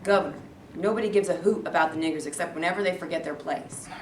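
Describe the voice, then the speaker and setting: A woman's voice: on the low side, fast talker, lightly acted. A woman in a staged play reading, playing an indignant, bigoted male political adviser. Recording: echoey, distant mic, faint noise.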